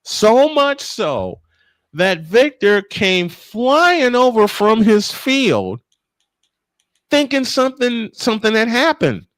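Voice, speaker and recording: A man calling live baseball play-by-play and telling stories in an animated, emphatic way, stretching phrases for drama. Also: extremely high pitch, slow pace, no room sound. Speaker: play-by-play sportscaster. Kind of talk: live baseball commentary mixed with animated storytelling.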